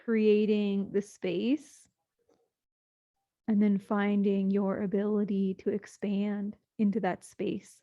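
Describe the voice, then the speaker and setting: A woman leading a guided sleep meditation, speaking slowly and soothingly with long pauses. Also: mid-range voice, little pitch movement, dull audio.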